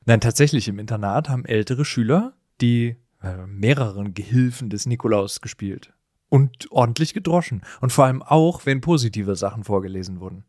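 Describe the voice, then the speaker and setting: A man's voice: mid-range voice, expressive, fairly quick, warm tone, no echo, studio quality. A man co-hosting a casual, comedic discussion, with a teasing, bantering manner. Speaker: podcast co-host.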